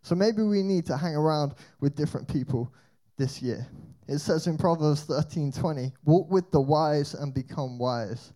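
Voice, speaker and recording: Young male speaker, lightly raised pitch, mellow tone, chatty storyteller giving a faith talk. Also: moderate pace. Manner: storytelling, conversational, rhetorical questions. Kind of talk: energetic youth talk. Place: church hall with band.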